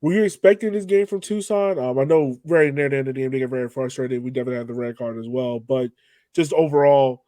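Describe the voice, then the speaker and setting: Male sports podcast host, relaxed dark timbre, conversational delivery, very dry recording. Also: medium pitch, fast talker, no room sound. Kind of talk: casual sports podcast chat.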